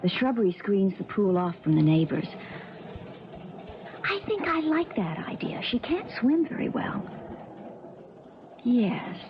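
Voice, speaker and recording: Spoiled young heiress in a radio play, bitter and pushy, very animated pitch, muffled old tape. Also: female voice, creaky, noisy background. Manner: insistent, imperious. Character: spoiled young rich woman, bitter, cold, scheming stepdaughter. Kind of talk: 1970s radio drama acting.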